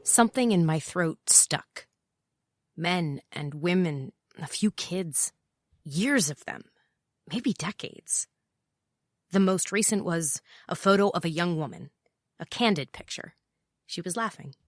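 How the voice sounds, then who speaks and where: Guarded female detective, very low pitch, bright close dry sound, wry, understated, noir audio drama. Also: expressive, slow pace, pauses often, dry recording. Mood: guarded, brooding. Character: guarded, wry female private eye seeking someone. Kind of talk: noir first-person audio drama narration and dialogue.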